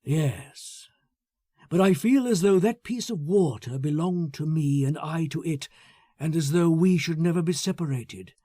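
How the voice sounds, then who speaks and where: Audiobook role: male reader as a coldly possessive brooder, soft dark timbre, musing and intense. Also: fairly high pitch, a little slow, dry recording, clean studio sound. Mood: brooding, possessive. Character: brooding, coldly possessive man harbouring secret hatred.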